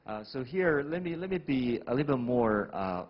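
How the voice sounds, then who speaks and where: A male professor on a panel, measured and lecturing as he lays out his argument point by point. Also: mid-range voice, big pitch swings, lo-fi sound. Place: TV studio.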